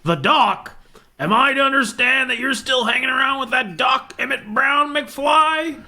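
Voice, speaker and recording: A woman's voice: high-pitched, unhurried, pushed and bright, exaggerated voice. A woman reading the part of a stern, scornful male school disciplinarian at a script table read.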